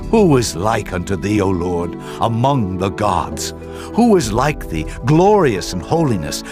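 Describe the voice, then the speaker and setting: A man voicing an exultant, reverent prophet, declaiming praise in a dramatized scripture recitation. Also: medium pitch. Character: Moses, exultant male prophet praising the Lord.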